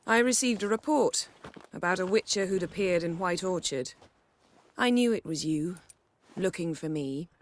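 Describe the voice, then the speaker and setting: A woman playing a poised, sharp-tongued sorceress in a video game, speaking in a measured, formal, sardonic way. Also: on the low side, animated, close to an everyday voice. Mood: cool, restrained. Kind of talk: video game cutscene acting.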